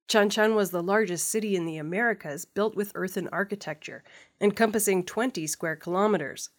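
A woman news host reading scripted stories in a measured, formal manner. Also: mid-range voice.

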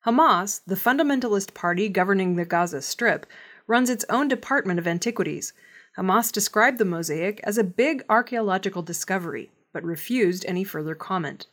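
A woman reading scripted news in a measured, formal delivery. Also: medium pitch, expressive, fairly bright tone. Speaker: news reader.

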